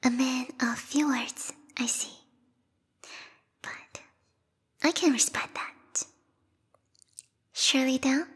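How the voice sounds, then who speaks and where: A woman voicing a bloodthirsty, refined bat girl royal guard in a whispered ASMR roleplay, prim and courtly with quiet menace. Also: high voice, big-room sound, full character voice. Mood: indignant, fervent. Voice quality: breathy, whispery. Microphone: close binaural microphone.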